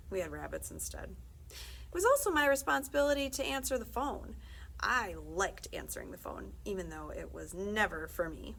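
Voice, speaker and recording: A woman's voice: medium pitch, creaky, a bit bright, low-quality recording. A woman reading a children's book aloud expressively for library story time, with asides to her listeners. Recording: faint background noise.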